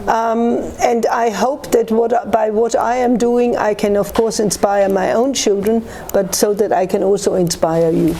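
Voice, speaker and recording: A woman from Australia with a mellow, warm tone, speaking earnestly and gently about her writing. A faint hum of room noise sits behind her. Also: fairly high pitch, fairly even intonation, a little slow, hardly any pauses. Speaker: guest author. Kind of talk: conversational interview answers.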